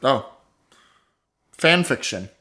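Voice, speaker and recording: A man's voice: mid-range voice, expressive, extremely slow, bright, forceful tone. A man co-hosting an improv comedy podcast in casual, bantering talk.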